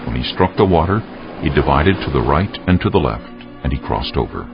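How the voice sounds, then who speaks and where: A male narrator with an extremely deep, gravelly voice reads scripture solemnly. His intonation moves widely, in storytelling fashion. The audio is a little dull, with music playing underneath. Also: expressive, a little fast. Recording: muffled audio over faint music.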